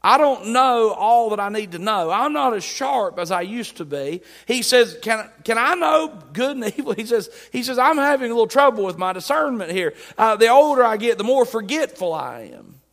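A man delivers a sermon in a high voice, reading verses and then retelling them in plain, emphatic speech. His words ring out with a long reverb, as if heard in a church sanctuary. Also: animated, normal speed, few pauses, fairly bright tone. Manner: emphatic preaching, paraphrasing scripture in plain talk. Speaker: preacher.

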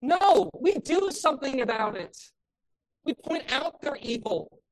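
Woman pastor, fairly high voice, loud and impassioned in the pulpit, hits emphatic peaks. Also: sing-song, unhurried, low-quality recording. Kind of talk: sermon.

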